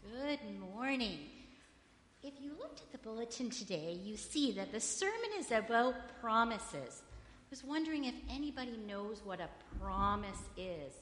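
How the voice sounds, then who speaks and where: A woman in a church hall gives a children's sermon, questioning the kids in a friendly way. Her loud voice has a bright, forceful edge. The sanctuary rings with a long, echoey reverb. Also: fairly high pitch, lively intonation, normal speed, a little hiss or hum. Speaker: children's story leader at church.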